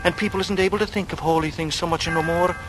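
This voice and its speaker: A man's voice: a little high, steady tone, fast, music in the background, close to an everyday voice. A man playing a glib, brooding young drifter with a murderous streak, in theatrical, dreamy 1940s radio thriller style. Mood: brooding, rapt. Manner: theatrical speechifying, rambling and dreamy. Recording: noisy vintage radio drama recording.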